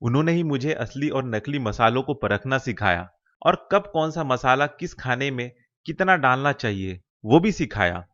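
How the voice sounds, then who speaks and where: A man's voice: medium pitch, expressive, moderate pace, a bit bright, lightly acted. Man playing earnest young villager, dull recording. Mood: friendly. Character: ambitious, persuasive young village man, a loyal friend. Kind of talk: Hindi cartoon moral-story voice acting.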